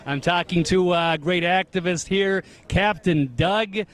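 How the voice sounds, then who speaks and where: A man's voice: high voice, measured pace, a bit bright. A man reporting and interviewing on a city street in a casual, upbeat way. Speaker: camera operator and reporter.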